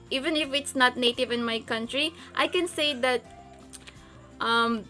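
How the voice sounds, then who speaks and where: A woman's voice: high-pitched, sharp tone. A woman with a Filipino accent, a native Visayan speaker, chatting casually and hesitantly as she answers questions for a video blog. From Philippines. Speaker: video blogger.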